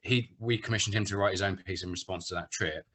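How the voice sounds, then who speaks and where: A man speaking informally and haltingly as a panel speaker, explaining things casually at an online event. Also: low-pitched, fairly even intonation, bright, forceful tone. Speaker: panel speaker, theatre producer. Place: online video call.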